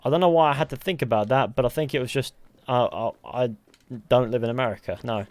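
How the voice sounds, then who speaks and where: A man's voice: medium pitch, fast, no echo. A tired man from the UK with a mixed Mancunian and Southern English accent, chatting casually on a live game stream, rambling and joking as he answers chat. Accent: mix of Mancunian and Southern English. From United Kingdom.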